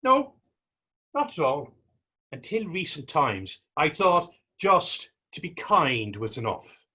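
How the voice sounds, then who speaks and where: A man's voice: a little high, huge pitch swings, takes it slowly, bright, forceful tone, slightly rough, full character voice. A man in a readers theater drama playing a defiant young Irish street vagrant, taunting and sarcastic, with an Irish accent. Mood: earnest, defiant. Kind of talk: readers theater stage acting.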